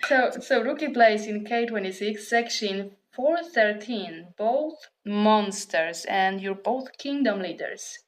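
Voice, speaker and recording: A woman's voice: mid-range voice, lively intonation, slow pace, hardly any pauses, rough recording. A woman hosting a casual online interview, giggly and playful. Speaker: interview host.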